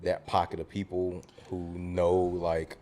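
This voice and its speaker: Deep voiced guy on a podcast panel, casual slangy chat. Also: monotone, a little slow, darkish tone. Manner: informal, slangy. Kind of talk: casual discussion.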